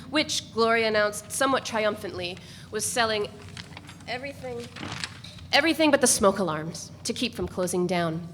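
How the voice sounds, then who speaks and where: Woman narrator, measured and even reading, recorded live in an echoey room with faint hiss. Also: mid-range voice. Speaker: reader. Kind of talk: first-person literary narration read aloud.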